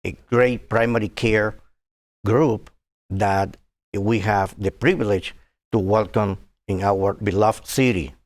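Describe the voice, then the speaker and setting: A man in his sixties from Cuba, speaking as a physician guest in a TV interview, earnest and halting with many fillers. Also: lowish voice, steady tone.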